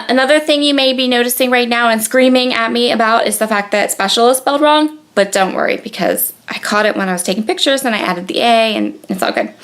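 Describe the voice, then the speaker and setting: A woman's voice: medium pitch, fairly bright tone. A young woman with a cold, chatting casually through a crafting voice-over, full of ums and restarts. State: sniffly with a cold. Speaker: scrapbooking video creator. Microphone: tablet on a gooseneck.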